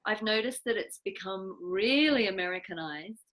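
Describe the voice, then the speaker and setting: A woman's voice: mid-range voice, lively intonation, moderate pace, a bit bright. Middle-aged woman from Australia, interview host, chatty and friendly, mid-range voice. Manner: chatty, friendly, laughs easily. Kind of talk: casual interview chat.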